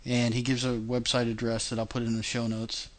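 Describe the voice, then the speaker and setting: A man's voice: medium pitch, monotone, fairly quick. A man hosting a podcast in casual chat, chatty with many fillers and restarts, laughing often. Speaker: podcast host.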